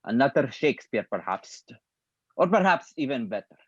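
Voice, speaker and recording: A man reading the part of a calm male acting teacher at a play table read, speaking in a deliberate, lecturing way. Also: medium pitch, husky, no echo, dull audio.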